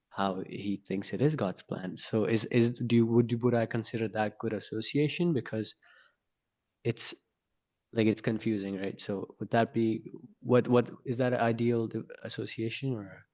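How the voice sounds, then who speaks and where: Hesitant low-voiced man, flat delivery. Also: normal speed, highs cut off. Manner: hesitant, with many restarts. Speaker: audience member asking questions.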